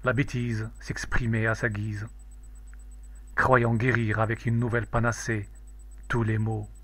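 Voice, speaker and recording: A man reciting a poem in a measured, declamatory, solemn way. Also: on the low side, level tone, a bit bright, dry recording. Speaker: poetry reader.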